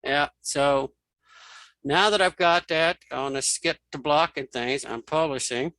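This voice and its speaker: A man giving a casual, step-by-step software tutorial, informally narrating what he does as he goes. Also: fairly high pitch, measured pace, dry recording, low-quality recording. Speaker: tutorial host.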